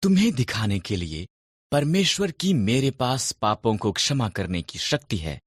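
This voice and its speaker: A man voicing a calm, authoritative male healer and teacher in a Bible story, deliberate and commanding. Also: medium pitch, breathy edge, no room sound, clean studio sound.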